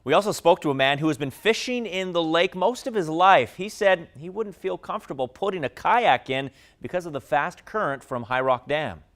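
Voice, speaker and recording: A man's voice: on the high side, expressive, moderate pace, few pauses, a bit bright. A man with an American accent reading scripted television news as an anchor.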